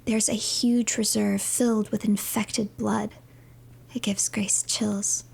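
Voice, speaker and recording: A woman narrating a horror game as a dramatic third-person story, with breathy dips toward a whisper. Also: medium pitch, flat delivery, slow pace, darkish tone, slight background noise.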